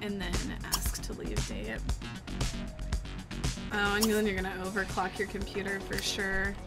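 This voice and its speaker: A woman's voice: medium pitch, flat delivery, unhurried. A young woman game streamer on a headset mic, sarcastically teasing her viewers in casual live commentary. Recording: loud game music behind.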